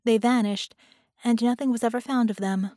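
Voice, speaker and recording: A woman's voice: a little high, steady tone, moderate pace, dark tone, no room sound, clean studio sound, pitched above the performer's own voice, natural voice. A woman voicing a helpful artificial intelligence in an audiobook, with formal, factual, precise delivery. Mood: factual, measured. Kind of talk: audiobook character voice.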